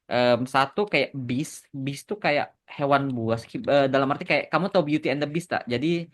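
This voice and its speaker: A man's voice: mid-range voice, moderate pace, fairly bright tone. A man in casual podcast conversation, explaining things as a creator, slipping English phrases into his speech. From Indonesia. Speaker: animation creator answering questions on a podcast.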